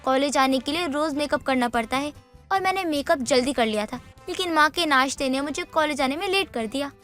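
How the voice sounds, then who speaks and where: A woman's voice: sits high, expressive, brisk, a bit bright, soft background music. A woman playing a vain, touchy young college girl in a cartoon story, slangy and defensive in an exaggerated way. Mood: breezy, defensive. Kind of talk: Hindi animated moral story dialogue.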